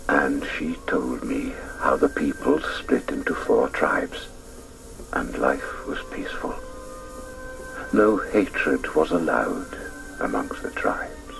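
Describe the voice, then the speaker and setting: Grave male narrator, radio play, extremely deep, gravelly, hushed measured storytelling, music underneath. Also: wildly swooping pitch, in a whisper, exaggerated voice, rough recording. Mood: grave, reflective. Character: grave man narrating his remembered childhood tale. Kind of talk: scripted radio drama narration.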